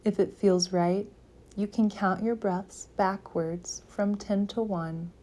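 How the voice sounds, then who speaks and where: Woman, meditation guide, mid-pitched, unhurried slow delivery, calm, soothing, gentle, long pauses. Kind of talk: guided yoga nidra meditation.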